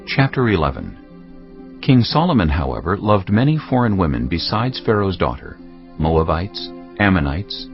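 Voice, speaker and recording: Male narrator for dramatised scripture: low voice, solemn measured storytelling, slightly dull recording with music underneath.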